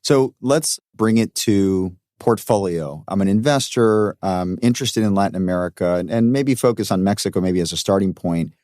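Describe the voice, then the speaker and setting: Low-voiced man hosting a podcast. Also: moderate pace, hardly any pauses, a little mellow, no echo, clean studio sound. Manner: conversational, guiding questions. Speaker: podcast host. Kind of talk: podcast interview. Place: studio.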